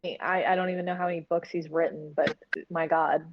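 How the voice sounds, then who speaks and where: A woman's voice: on the low side, little pitch movement, brisk, highs cut off, background noise. A woman chatting casually and candidly as an interview guest, talking like a filmmaker about her work.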